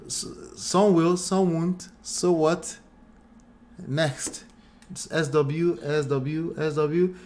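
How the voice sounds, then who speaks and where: A man from Nigeria, co-hosting a casual relationship chat in an animated, blunt way, repeating phrases for emphasis. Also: on the high side, slow pace. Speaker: talk show co-host.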